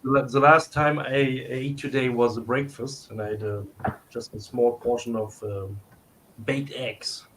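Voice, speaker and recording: A man's voice: medium pitch, steady tone, normal speed, fairly bright tone, slight background noise, poor audio. A man with a German accent hosting a casual tasting, searching for his English words as he goes. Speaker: tasting host and shop owner.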